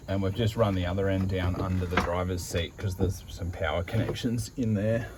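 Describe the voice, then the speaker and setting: A man's voice: low voice, fairly even intonation, a little fast, heavy background noise. An Australian man casually explaining a hands-on DIY install in a laid-back, matter-of-fact way. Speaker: DIY van-build vlogger.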